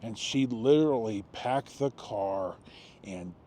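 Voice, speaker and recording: Mellow, halting American man in his sixties. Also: on the low side. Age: 60s. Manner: halting, rambling, with long pauses and self-corrections. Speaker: video storyteller. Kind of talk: casual autobiographical storytelling. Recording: noticeable background noise.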